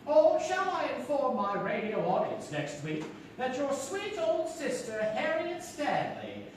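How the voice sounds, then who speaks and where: A man's voice: extremely high pitch, big pitch swings, a little slow, exaggerated voice. A man acting an imperious, scheming radio celebrity in a comic stage play, grandiose, commanding and mock-sweet. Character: Sherry Whiteside, imperious, scheming, theatrical celebrity radio wit. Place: theatre stage before a live audience. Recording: echoey theatre stage from far off, faint noise.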